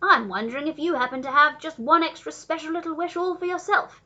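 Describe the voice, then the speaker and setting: A woman voicing a grand, exuberant, generous male Duke in a storybook, with loud, emphatic, aristocratic delivery. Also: high voice, fairly quick, dull audio, voice pushed up. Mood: kindly.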